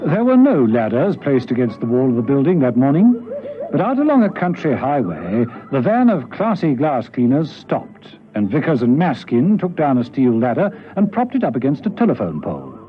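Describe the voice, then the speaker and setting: A man's voice: medium pitch, wildly swooping pitch, normal speed. A man reading scripted narration for a radio drama. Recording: dull radio recording with music. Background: clear music.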